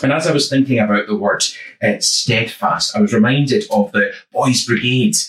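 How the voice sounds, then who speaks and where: A man from the west of Scotland with a West of Scotland accent, giving a gentle, reflective devotional talk with many small ums and uhs. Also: medium pitch, moderate pace, roomy sound, far from the mic, poor audio. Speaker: church minister.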